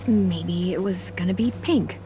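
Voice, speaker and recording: Casting: female actor as steady American teen girl, caring and dryly teasing, lowish voice, game dialogue over music. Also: a little slow, close to an everyday voice. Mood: gently teasing. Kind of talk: video game voice acting, casual dialogue. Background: background music.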